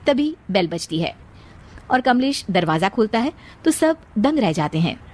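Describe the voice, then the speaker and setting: A woman's voice: medium pitch, very animated, measured pace, a little mellow. A woman delivering scripted narration as the narrator of a moral story.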